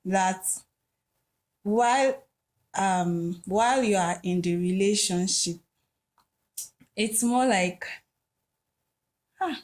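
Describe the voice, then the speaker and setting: Woman, mid voice, thinking out loud, lots of ums. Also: mid-range voice, extremely slow, long pauses. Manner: thoughtful, hesitant, lots of "um". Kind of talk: casual podcast discussion.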